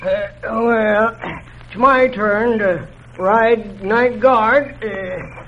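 Slow, high-voiced friendly cowboy. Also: male voice. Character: friendly, grateful cowboy cattleman. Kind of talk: 1950s Western radio acting. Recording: muffled old radio recording, faint noise.

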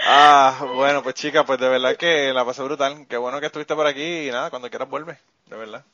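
A Puerto Rican man hosts a casual podcast chat. His voice is bright and forceful, and he teases his guest playfully with frequent laughs. The sound is dull and lo-fi. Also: medium pitch. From Puerto Rico. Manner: playful teasing, laughs often. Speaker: podcast host.

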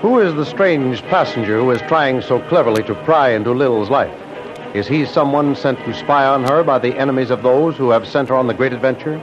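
A man's voice: medium pitch, fairly quick. A man announcing a 1930s radio serial with dramatic, emphatic, formal scripted narration. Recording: dull vintage radio recording. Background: music.

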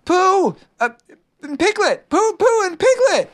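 A reader voicing an eager, innocent young kangaroo child with a squeaky, excited way of asking questions, in a storybook. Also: moderate pace.

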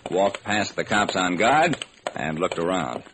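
Vintage radio detective hero, wry and sharp, lively swooping inflection, noisy old recording. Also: male voice, sits low, a little slow. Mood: wry, narrating. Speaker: narrator and lead actor. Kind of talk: old-time radio detective narration and acting.

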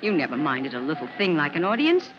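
A woman playing a brisk, prim, kindly schoolteacher in a 1950s radio drama, crisp and gently scolding. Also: low voice, very animated. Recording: dull, noisy vintage radio, crowd murmur.

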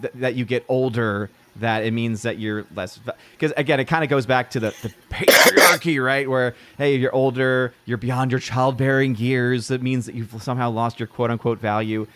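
A man's voice: mid-range voice, fairly even intonation, normal speed, hardly any pauses, fairly bright tone, no room sound. A man hosting a casual live-stream chat, talking loosely with lots of laughs and restarts. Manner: chatty, laughs often, restarts sentences. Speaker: host.

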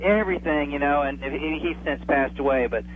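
Guy phoned into a radio show, tinny line w some hum, kinda high voice, chatting away enthusiastically. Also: a little fast. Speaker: interview guest, old-time radio enthusiast. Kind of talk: casual radio interview chat. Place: remote, calling in to a radio show. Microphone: telephone.

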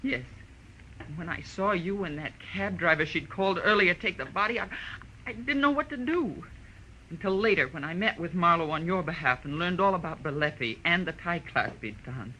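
A woman's voice: on the low side, expressive, moderate pace, pushed and bright. A woman playing a desperate, coldly apologetic murderess, speaking in short, intense confessional sentences in 1940s radio melodrama style. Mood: tense, resolute. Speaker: radio drama actress. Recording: noisy old radio broadcast.

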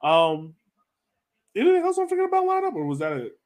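A man's voice: very high-pitched, wildly swooping pitch, fast talker, darkish tone. A man hosting a casual sports discussion, talking conversationally and putting questions to his co-hosts. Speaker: podcast host. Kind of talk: casual sports analysis chat.

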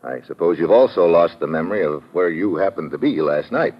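A man's voice: sits low, animated, fairly quick. An actor playing a tough, wry American adventurer who narrates his own case in a 1950s radio drama, sardonic and clipped. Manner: hard-boiled, sardonic, clipped. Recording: muffled vintage radio recording.

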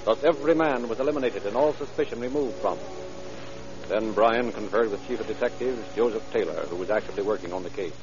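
Guy acting as old radio crime show narrator, urgent and solemn, talks fast, muffled vintage sound. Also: on the low side, close to an everyday voice. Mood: urgent, grave. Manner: dramatic, urgent announcer delivery. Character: solemn male narrator of a police case. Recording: dull old radio recording, music behind. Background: loud dramatic music.

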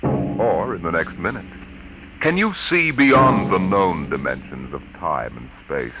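Guy, old radio announcer, low dramatic voice, really drawn out, loud music behind. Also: lively intonation, poor audio. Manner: portentous, drawn-out.

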